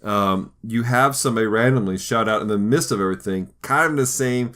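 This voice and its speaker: A man's voice: lowish voice, fairly quick, fairly bright tone. A man hosting a podcast, chatting casually in a jokey, easygoing conversational style. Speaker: podcast host. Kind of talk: casual chat.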